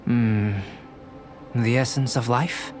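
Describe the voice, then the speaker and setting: An actor playing a calm, detached young researcher in a video game, speaking in a measured, formal, analytical way. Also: male voice, medium pitch, natural voice. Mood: polite, intrigued. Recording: background music under the voice.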